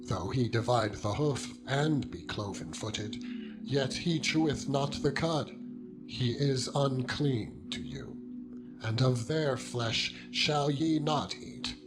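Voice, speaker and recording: A man narrating scripture in a solemn, measured, declamatory style. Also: medium pitch. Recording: loud music bed under the voice. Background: loud music.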